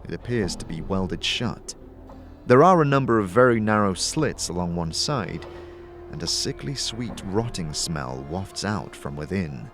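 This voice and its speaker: A man's voice: sits low, animated, measured pace, fairly bright tone. A man narrating a fantasy story to the listener as game master, in a scripted, dramatic style. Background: background music.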